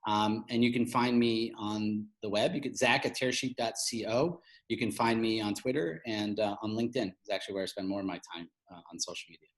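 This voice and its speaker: A man's voice: lowish voice, steady tone, fairly quick, hardly any pauses, poor audio. A man speaking as an interview guest, explaining his field in an informal, conversational way. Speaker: interview guest, fintech media founder.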